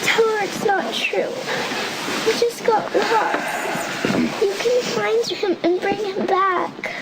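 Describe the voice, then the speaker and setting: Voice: very high-pitched, fairly even intonation, slow pace, full character voice. A young performer playing a grieving, insistent little girl in a screen drama. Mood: upset, pleading. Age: child. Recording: very noisy soundtrack.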